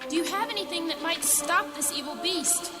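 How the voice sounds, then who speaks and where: A woman's voice: very high voice, normal speed, over loud music. A woman playing a resolute, devout priestess in a fantasy audio drama, earnest and declamatory. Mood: urgent, resolute.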